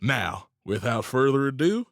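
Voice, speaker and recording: Slow, showy Old West saloon boss, acted by a man. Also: medium pitch, sing-song, vocal fry, very noisy. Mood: proud, jovial. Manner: showy, drawn-out speechifying. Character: folksy, self-satisfied saloon owner in the Old West. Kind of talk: theatrical stage welcome speech.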